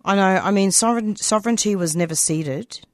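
A woman radio interviewer from a non-English speaking background, asking measured, thoughtful questions. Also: medium pitch, dry recording, clean studio sound. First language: non-English language.

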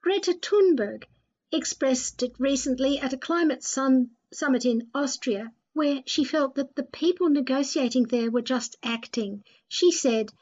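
A woman's voice: high-pitched, measured pace, a little mellow. A woman narrating and presenting a dramatised reading in a scripted storytelling style. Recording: dull, lo-fi voice recording.

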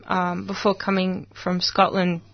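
A woman's voice: fairly low pitch, completely flat delivery, measured pace, gravelly, no echo, lo-fi sound. An Australian woman, a guest in a studio, chatting casually and searching for words with many fillers. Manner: hesitant, many fillers and restarts. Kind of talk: casual conversation.

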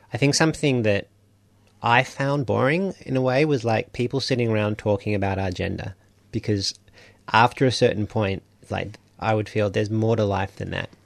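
A man's voice: on the low side, vocal fry, dry recording. A man, a writer guest speaking in a reflective, earnest way on radio. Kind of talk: radio interview.

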